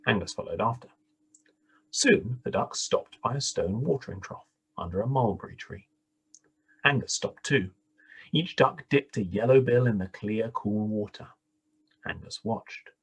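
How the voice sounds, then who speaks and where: A man reading a children's story aloud in an expressive, deliberate manner with dramatic pauses. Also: fairly low pitch, slightly rough, poor audio. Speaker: storybook reader.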